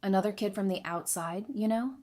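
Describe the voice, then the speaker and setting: A woman's voice: medium pitch, a little fast, dark tone, lightly acted. Frank, dry-witted woman, voiced by an actress. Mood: thoughtful, frank. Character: candid, dry-witted woman advising a community leader. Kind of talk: fan audio drama acting.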